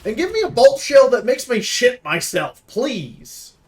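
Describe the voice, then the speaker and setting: A man's voice: very high-pitched, huge pitch swings, a little slow. A man giving casual, opinionated game preview commentary in an emphatic, rambling, sweary way. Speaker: YouTube host and game reviewer.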